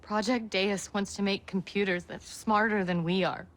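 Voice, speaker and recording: A woman's voice: mid-range voice, steady tone, moderate pace, lightly acted. An actress playing a frightened young woman rebel in a video game cutscene, anxious and pleading. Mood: earnest.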